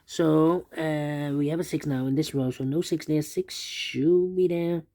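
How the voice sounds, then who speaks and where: A man with a dark, gravelly voice teaches a puzzle solve at his desk. He thinks aloud in a steady, methodical way, repeating pairs of numbers under his breath as he goes. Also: on the high side, measured pace. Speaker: sudoku instructor.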